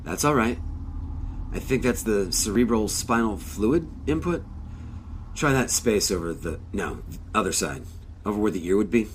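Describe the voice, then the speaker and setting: A man's voice: lowish voice, lively intonation, normal speed, a little hiss or hum, close to an everyday voice. A man playing a wry, patient crewmate in a sci-fi audio drama, speaking in a dry, understated, naturalistic way.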